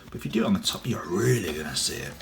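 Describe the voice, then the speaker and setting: Guy with a cold, voice all gruff and low, rambly toy unboxing chat with lots of ums. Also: animated, racing, music in the background. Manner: rambling, chatty, frequent ums. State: has a cold, voice deep and gruff. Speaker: toy reviewer. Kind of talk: casual unboxing review. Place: indoors at a table, off camera.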